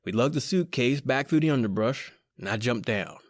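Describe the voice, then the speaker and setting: A man's voice: medium pitch, animated, normal speed, no room sound, clean studio sound, close to an everyday voice. A man with an American accent playing a cocky, slangy small-time crook in an old-time radio horror drama. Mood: wry, uneasy. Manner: slangy, colloquial, conversational. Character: cocky wisecracking small-time male crook telling his own eerie tale. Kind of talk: old-time radio horror acting, first-person narration and dialogue.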